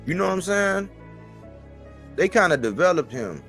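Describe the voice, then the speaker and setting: A man's voice: high voice, big pitch swings, normal speed, vocal fry, a bit bright, faint music behind. A man in his forties talking casually as a sports commentator on a live stream, in colloquial language and repeating phrases for emphasis.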